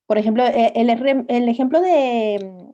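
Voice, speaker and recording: A woman's voice: fairly high pitch, a little slow, dark tone, highs cut off. A woman who is a native Portuguese speaker, co-hosting a casual discussion. She speaks tentatively and searches for her words. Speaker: discussion co-host. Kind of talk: casual conversational dialogue.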